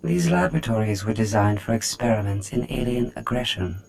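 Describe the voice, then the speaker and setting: An actor voicing a cold, sadistic artificial intelligence in a video game, speaking in measured, formal menace. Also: male voice, fairly low pitch, expressive, darkish tone, slight background noise, close to an everyday voice, low-quality recording.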